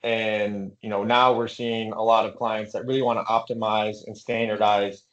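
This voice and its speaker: A man's voice: on the low side, little pitch movement, moderate pace, fairly bright tone. A man giving an explanatory business presentation as a consultant, with hesitant phrasing and frequent filler phrases. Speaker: consultant presenting a webinar series. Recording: dull, lo-fi band-limited audio.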